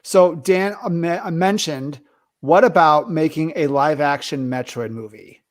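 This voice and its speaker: A man's voice: fairly high pitch, unhurried. A man chatting casually as a co-host on a discussion show. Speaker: podcast co-host. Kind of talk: casual chat.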